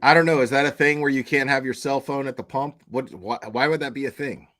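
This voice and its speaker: A man's voice: medium pitch, fairly quick, fairly bright tone. A man giving casual live commentary in a slangy, profane, mocking manner, as the host of a reaction stream.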